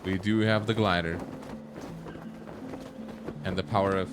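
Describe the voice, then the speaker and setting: A man's voice: sits low, steady tone, unhurried. A man giving casual live commentary on a video game, with dry, wry asides. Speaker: streamer. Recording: game music behind the voice. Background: game music.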